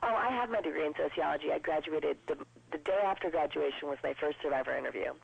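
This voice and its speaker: A woman in her twenties with a low voice, chatting upbeat as a guest in an interview. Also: vocal fry, husky. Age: 20s. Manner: chatty and upbeat, quick with jokes. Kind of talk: casual interview. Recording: dull lo-fi broadcast sound.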